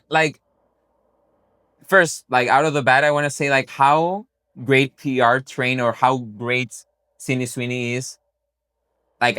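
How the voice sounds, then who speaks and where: A man's voice: mid-range voice, sharp tone, smooth. A man co-hosting a podcast, talking casually and rambling with lots of "like" fillers and frequent laughs. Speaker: podcast co-host.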